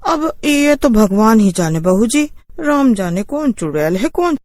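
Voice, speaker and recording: Female servant, raised pitch, slow, ominous, deferential, cautionary, cartoon horror, put on by a woman storyteller. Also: sing-song, darkish tone, dry recording, studio quality. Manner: slow, ominous, respectful warning. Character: wary village maidservant, deferential, full of warning.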